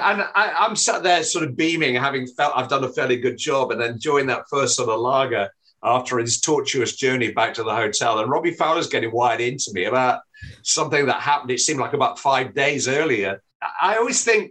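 A middle-aged man from England, a football commentator, telling stories in a relaxed interview with restarts and self-deprecating humour. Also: mid-range voice, brisk. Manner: chatty storyteller, frequent restarts and self-deprecating laughs. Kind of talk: relaxed anecdotal interview talk.

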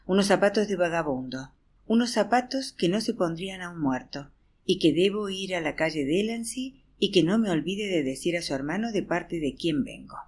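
A woman's voice: on the low side, animated, moderate pace, husky. A woman narrating an audiobook in the first person, acting out a weary teacher's chatter to a class. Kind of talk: expressive audiobook reading.

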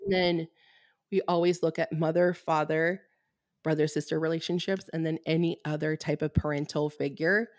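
Low-voiced woman explaining healing work. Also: moderate pace, no echo, studio quality. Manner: steady, explanatory, blunt at times, with frequent fillers. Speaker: Reiki fertility healer, guest. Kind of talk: podcast interview, explaining.

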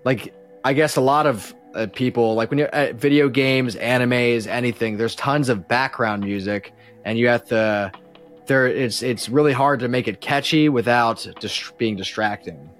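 Guy podcast guest, mid-pitched, rambly halting explanations, bit of music behind. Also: a bit bright. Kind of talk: casual chat.

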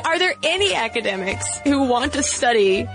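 A woman's voice: high voice, big pitch swings, normal speed, music in the background. A woman co-hosting a podcast, upbeat in casual chat and reading listener mail aloud. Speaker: podcast co-host.

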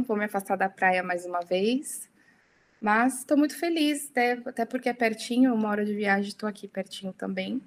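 A young woman speaking as a podcast guest, talking casually and often tagging her sentences with little questions. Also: mid-range voice. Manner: chatty, many tag questions. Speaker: interview guest.

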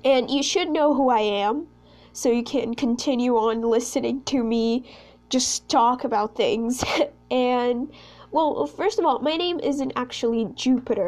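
A woman's voice: high-pitched, a little mellow. A sixteen-year-old young woman from Brazil, a Portuguese speaker, chatting casually and ramblingly as a solo podcast host. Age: teens, sixteen. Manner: rambling, chatty, self-conscious.

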